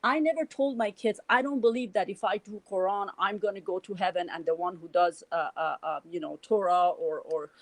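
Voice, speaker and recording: A woman's voice: medium pitch, brisk, few pauses, dry recording, poor audio. A middle-aged woman from Iran with a Persian accent, speaking her views in a casual, earnest way, full of restarts and "you know". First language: Farsi. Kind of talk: casual spoken reflection.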